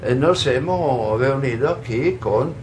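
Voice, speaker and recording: An older man speaks in a mid-pitched voice, earnest and expansive as he answers a TV interviewer. He pauses mid-phrase, and faint noise sits behind him. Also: lively intonation. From Italy. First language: Italian. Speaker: guest, solidarity activist. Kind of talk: studio TV interview. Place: local TV studio.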